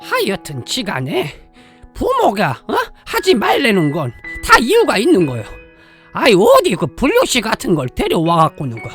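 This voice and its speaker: A woman's voice: a little high, wildly swooping pitch, normal speed, faint music behind. A woman playing a cranky, foul-mouthed, resentful old grandmother in an audio drama, grumbling in a with exasperated sighs. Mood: angry, exasperated. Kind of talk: Korean audio drama acting.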